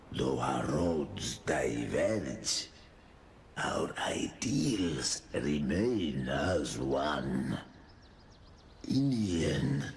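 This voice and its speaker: A solemn video game mastermind with an extremely deep, gravelly voice. He delivers grand pronouncements very slowly and portentously, weighing every word. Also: a little hiss or hum, full character voice. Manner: measured, portentous. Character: solemn, grave mastermind preaching humanity's eternal destiny. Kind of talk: video game cutscene acting.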